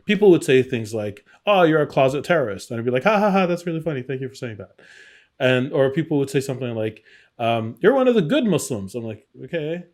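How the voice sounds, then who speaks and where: Man, middle-aged, from Iraq, medium pitch, candid, conversational, interview guest, reflective storytelling. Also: big pitch swings, fairly quick, a little mellow. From born in Iraq. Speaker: interview guest, game designer.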